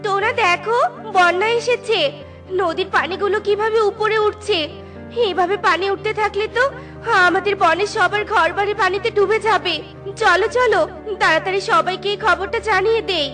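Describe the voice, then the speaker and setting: A caring, brave mother bird from a cartoon played by a woman. Her voice is extremely high, with an earnest, urgent delivery. Music sits clearly behind it. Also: steady tone, moderate pace, a bit bright. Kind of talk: Bengali cartoon voice acting.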